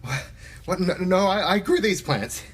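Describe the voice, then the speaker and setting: A woman's voice: on the low side, animated, measured pace, breathy edge. A woman playing a timid, delicate oddball science officer, nervous and stammering, in a comedic sci-fi audio drama. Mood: confused, hapless.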